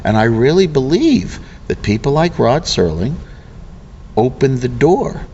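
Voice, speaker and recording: A middle-aged American man giving a casual interview, speaking earnestly and haltingly, often circling back mid-sentence. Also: medium pitch, very animated, dark tone. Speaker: interviewee, local arts program representative. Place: event venue before a program starts. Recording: dull, noisy on-location recording.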